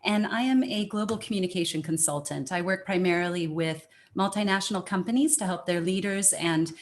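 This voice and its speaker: A woman's voice: lowish voice, a little fast. A woman from the USA introducing herself on an online panel as a communication consultant, talking in an enthusiastic, upbeat way.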